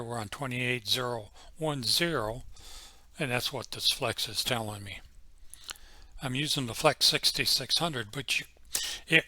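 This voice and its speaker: A man giving an informal, unscripted software walkthrough as a tutorial presenter, explaining things methodically step by step. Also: mid-range voice, no echo. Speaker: tutorial presenter, amateur radio hobbyist.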